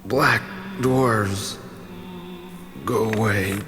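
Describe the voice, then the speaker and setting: An actor playing a weary, injured young man who is gruffly protective, in an audio drama. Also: lowish voice, fairly even intonation, very slow, noisy background. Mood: groggy, warning. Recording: long reverb, music behind.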